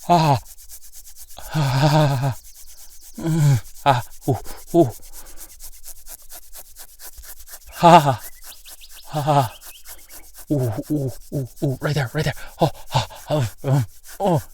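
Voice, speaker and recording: A man playing an easygoing, playful werewolf boyfriend in a roleplay audio, talking casually and haltingly with a self-deprecating streak. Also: fairly high pitch, steady tone, darkish tone, husky. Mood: flustered pleasure. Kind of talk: intimate boyfriend roleplay audio, improvised-sounding one-sided conversation.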